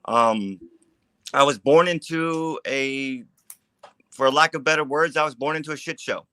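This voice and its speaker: A man's voice: mid-range voice, measured pace, sharp tone, clean tone, dry recording. A man in his forties from California, giving his recovery testimony in a candid, plainspoken way with casual grammar. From California, USA. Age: 40s. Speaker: recovery advocate guest. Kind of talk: personal testimony.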